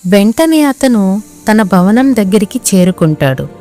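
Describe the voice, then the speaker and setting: A woman's voice: mid-range voice, sing-song, unhurried, warm tone, soft background music. A woman reading scripted children's story narration as the storyteller.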